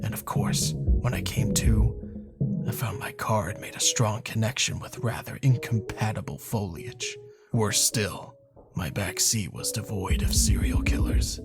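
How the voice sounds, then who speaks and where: A man's voice: on the low side, measured pace, loud music behind the voice. An actor playing a weary, bitter police detective who tells his story in a brooding, sardonic, dramatic way for a video game cutscene. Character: weary, bitter male police detective recounting a serial killer case.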